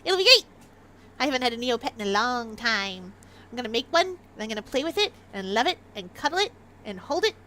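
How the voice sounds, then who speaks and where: A woman's voice: fairly high pitch, big pitch swings, fairly quick, bright, forceful tone, slight background noise. A Canadian woman with a light Maritime Canadian accent, chatting casually and giggling as she livestreams a game. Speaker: game streamer.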